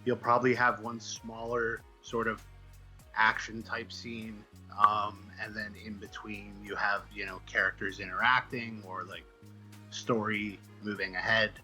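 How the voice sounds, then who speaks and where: A man chatting casually as an interview guest, halting and self-deprecating as he thinks out loud. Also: on the low side, flat delivery, soft background music, low-quality recording. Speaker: guest, comic book writer.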